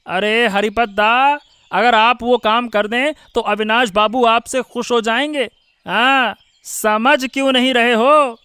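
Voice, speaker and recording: Man playing a very high, sharp young underling. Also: fairly even intonation, takes it slowly, smooth, dry recording, full character voice. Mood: defensive. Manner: protesting. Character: defensive, boastful young village errand man. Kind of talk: cartoon story voice acting.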